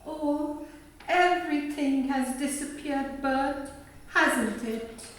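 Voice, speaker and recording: Woman acting a slow, tender neighbour lady, roomy echo. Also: sits high. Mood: wistful. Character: kindly, sentimental married neighbour woman, warm and sorrowful. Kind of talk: radio play acting. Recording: roomy, distant, faint background noise.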